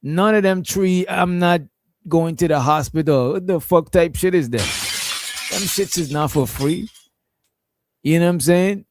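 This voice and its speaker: A man's voice: sits high, measured pace, no echo. A man hosting a casual stream, reacting to a clip in a laid-back, profane, rambling way.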